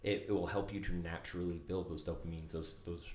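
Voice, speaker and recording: A man talking in a casual podcast conversation as a company CEO guest, earnest and reflective, with frequent pauses and restarts. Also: sits low, steady tone, a little mellow, reverberant.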